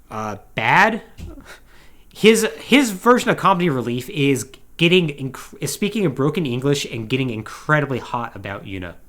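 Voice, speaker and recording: A man with a mid-pitched, very lively voice tells a story as a podcast co-host. His delivery is casual and emphatic, swinging up and down as he stresses points and drops in wry asides. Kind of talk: casual comedic plot recap, conversational.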